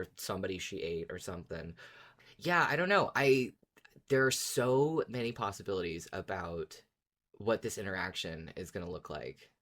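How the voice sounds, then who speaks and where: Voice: low voice, a bit bright. A podcast co-host chatting casually and enthusiastically about fiction, often circling back over phrases.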